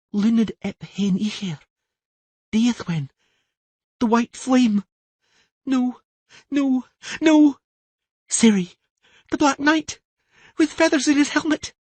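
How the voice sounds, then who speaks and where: A man playing a young girl caught in a trance, her voice high and shaky. She pleads in thin, breathy tones that leap up and down. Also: big pitch swings, slow pace, long pauses, no room sound, full character voice. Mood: panicked. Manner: shaky, pleading, rising into panic.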